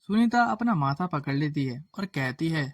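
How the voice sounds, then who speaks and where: A man's voice: on the high side, expressive, moderate pace. A man narrating a scripted moral story as a storyteller. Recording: faint background music.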